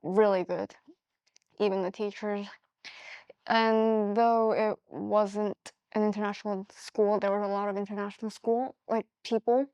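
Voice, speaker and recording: Teenage girl, non-native english speaker, smooth voice, dry sound, halting casual talk. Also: medium pitch, flat delivery, dark tone, dry recording, lo-fi sound. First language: not English. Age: teens. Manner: halting, restarts, filler "like". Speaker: student guest. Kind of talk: casual interview chat. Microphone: lapel mic.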